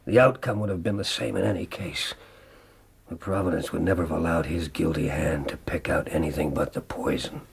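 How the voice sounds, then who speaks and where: Very deep, gravelly vengeful killer. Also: male voice, steady tone, brisk, heavily acted. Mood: defiant. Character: vengeful male killer confessing, grim and unrepentant. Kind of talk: radio drama acting.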